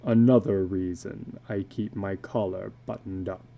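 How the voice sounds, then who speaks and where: Man voicing a slow, low-pitched, weary carnival drifter. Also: steady tone, vocal fry, mellow, full character voice. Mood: haunted, weary. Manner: slow, heavy, resigned delivery. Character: weary, heavyset tattooed carnival drifter, a middle-aged man. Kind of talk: dramatic storybook reading.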